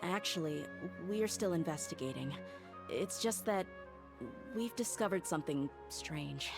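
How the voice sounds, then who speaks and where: A woman's voice: on the low side, animated, unhurried, music in the background. A woman voicing a blunt, loyal female mercenary bodyguard in a game, frank and casual in her speech. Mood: earnest. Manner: frank and casual, with heavy sighs.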